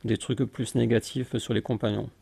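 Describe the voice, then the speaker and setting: Gravelly, dark-voiced man, mocking. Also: medium pitch, flat delivery, normal speed. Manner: dismissive, mocking, talks over the clip. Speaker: commentator reacting to a video. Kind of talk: casual reaction commentary.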